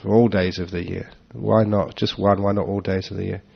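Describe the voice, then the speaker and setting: A low, gravelly man gives an easygoing Dharma talk, breaking into jokes and chuckles as he answers the audience. The recording sounds dull and lo-fi. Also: brisk. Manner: relaxed, joking, chuckles often. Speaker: monk giving a Dharma talk.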